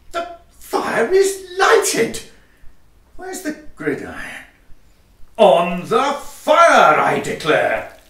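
A man playing a pompous, excitable Victorian hatter in an audio farce, declaiming and exclaiming theatrically. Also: sits high, creaky, recorded from a distance, heavily acted. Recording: roomy echo, away from the mic.

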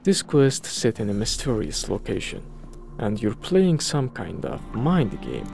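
A man's voice: lowish voice, very animated, measured pace, gravelly. A man presenting a game video in scripted review narration. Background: background music.

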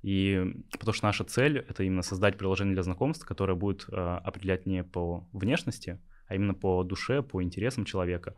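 A man's voice: low-pitched, quick pace, hardly any pauses, a little mellow. A young man from Yakutia, a startup founder, answering interview questions hesitantly with many filler sounds. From Yakutia, Russia. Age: young adult.

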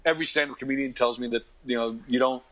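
A fifty-year-old man, chatting casually as an interview guest and telling stories in an animated, conversational way. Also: mid-range voice, quick pace, rough recording. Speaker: interview guest, actor and comedian. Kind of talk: casual interview anecdotes and advice.

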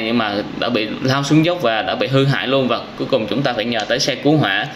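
A man's voice: mid-range voice, fairly bright tone. Young southern vietnamese guy doing casual game reaction commentary for kids, lots of exclaiming, noisy vehicle sounds behind him. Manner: exclamatory storytelling with frequent wows and addresses to viewers. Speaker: gaming reaction video host.

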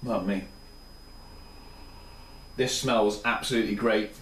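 A man's voice: on the low side, steady tone, measured pace, recorded from a distance, slight background noise. A tired man in his thirties, rambling casually and enthusiastically through a review in his small home kitchen. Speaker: beer reviewer. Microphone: camera mic at a few steps. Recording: roomy, off-mic home camera sound.

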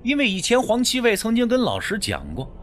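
A man's voice: very high voice, huge pitch swings, a little fast, a bit bright, soft background music. A man narrating a scripted suspense story as an audiobook, with a storyteller's dramatic phrasing.

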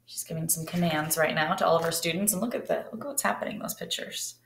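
A woman's voice: low-pitched, fast talker, a little hiss or hum. A woman, reading a picture book aloud and putting questions to children in a teacherly way. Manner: expressive, teacherly. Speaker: read-aloud storyteller. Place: kitchen or dining area at home, indoors. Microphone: laptop or webcam at arm's length. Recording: roomy home webcam sound.

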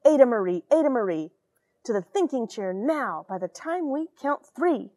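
A woman's voice: on the high side, big pitch swings, moderate pace, mellow, no room sound. An American woman voicing frustrated storybook parents who scold their young daughter with stern, emphatic commands. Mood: exasperated, stern.

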